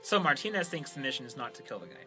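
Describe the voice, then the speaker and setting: A man's voice: medium pitch, big pitch swings, racing, bright, forceful tone, soft background music. A man co-hosting a podcast in casual banter, speaking informally and laughing easily. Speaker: podcast host, show creator.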